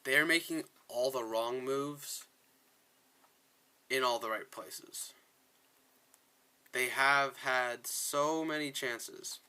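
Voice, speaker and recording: A man's voice: mid-range voice, drawn-out words, pauses often, pushed and bright, clear, resonant tone. A man giving casual, opinionated commentary as he plays a video game, often sounding exasperated. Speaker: gaming video creator, player. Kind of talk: casual game commentary with dialogue read aloud.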